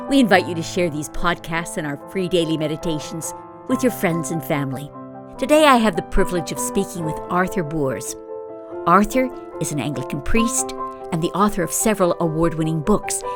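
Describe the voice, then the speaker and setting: A woman hosting a podcast interview, introducing her guest in a measured, gentle and formal way. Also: fairly low pitch. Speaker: podcast host.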